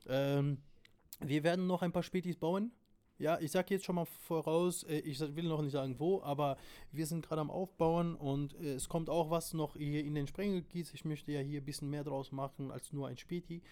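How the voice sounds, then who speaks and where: A man's voice: on the high side, steady tone, normal speed, dark tone, clean tone. A man chatting casually as a podcast guest, in slangy, emphatic street talk with plenty of swearing. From abroad, came to Germany late. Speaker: interview guest, corner shop owner.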